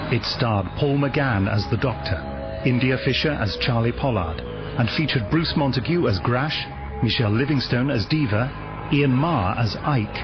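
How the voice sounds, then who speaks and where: A man's voice: medium pitch, muffled recording. A man reading scripted credits as an announcer for a radio drama.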